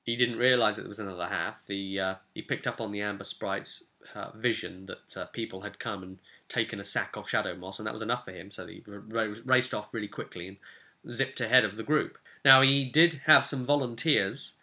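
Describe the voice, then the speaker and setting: Guy doing a casual rpg session recap, bright forward voice, animated storyteller. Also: fairly low pitch. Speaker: tabletop game master.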